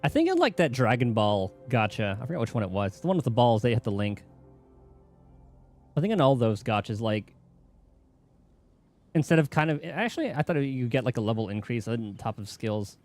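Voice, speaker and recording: A man's voice: medium pitch, sing-song, fairly quick, pauses often, a little mellow, soft background music. A man chatting casually on a game livestream, rambling and thinking aloud as he goes. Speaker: game streamer. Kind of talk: casual livestream chat while gaming.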